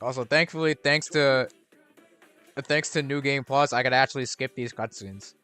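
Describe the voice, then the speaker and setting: A man's voice: medium pitch, normal speed, pushed and bright, smooth, soft background music. A young man streaming a video game, chatting casually and rambling with restarts and fillers. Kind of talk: casual gameplay commentary.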